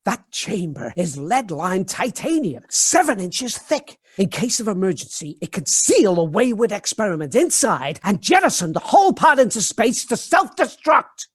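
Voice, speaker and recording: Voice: medium pitch, sing-song, moderate pace, hardly any pauses, breathy edge, no echo. A performer playing an irritable, pompous mad scientist professor in a spoof B-movie radio comedy, with a dry, exasperated manner. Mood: pompous, lecturing.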